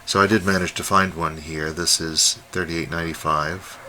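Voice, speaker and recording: A man hosting an unscripted hobby review, talking in a halting way as he thinks aloud while demonstrating. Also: sits low, fairly even intonation. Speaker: host, radio hobbyist reviewer. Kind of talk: unscripted product demonstration commentary. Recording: faint radio noise behind voice.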